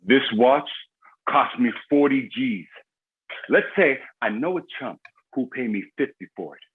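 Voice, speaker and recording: An actor playing a cocky, foul-mouthed crime boss with swaggering street talk in a screenplay table read. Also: male voice, mid-range voice, expressive, unhurried, husky, narrow, tinny audio. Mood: boastful, menacing.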